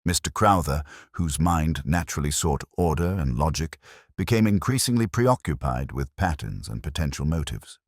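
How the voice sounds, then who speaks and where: Man, extremely deep voice, expressive pitch movement, measured formal story narration, dry recording. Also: no echo. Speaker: audiobook narrator. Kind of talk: scripted mystery story narration.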